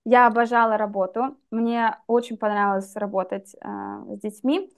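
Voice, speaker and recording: Young woman guest on a webcam call, kinda high voice, candid, thoughtful. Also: steady tone. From Russia. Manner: candid, reflective, measured. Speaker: guest, English teacher. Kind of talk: personal story in interview. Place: dim room indoors. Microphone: video call webcam.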